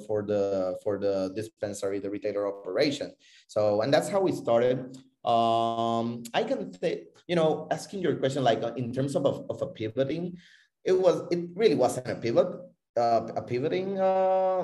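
A man with a Puerto Rican accent answering interview questions about his business, halting and repeating words as he searches for phrasing. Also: medium pitch, dark tone. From Puerto Rico. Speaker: interview guest, tech company CEO. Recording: roomy, echoing voice recording.

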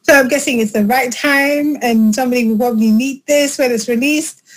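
A woman's voice: high-pitched, brisk, background noise. A woman hosting a podcast, gushing and effusive, her voice wobbling with emotion as she talks casually over a remote call. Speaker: podcast host.

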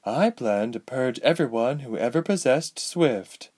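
A man's voice: mid-range voice, expressive, unhurried, darkish tone, voice pushed up. A man voicing a cold, bitter, vengeful sorceress, the daughter of a villain, speaking coolly and indifferently. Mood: menacing. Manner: cool and indifferent, turning bitter.

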